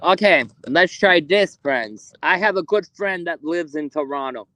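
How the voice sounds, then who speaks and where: Guy on a night ghost hunt in a graveyard, kinda high voice, dry close sound, lots of uh and um. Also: expressive, sharp tone, no echo. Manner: halting, many fillers, calls out to spirits. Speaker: ghost hunter streaming live. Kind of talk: live paranormal investigation stream. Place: cemetery outdoors at night.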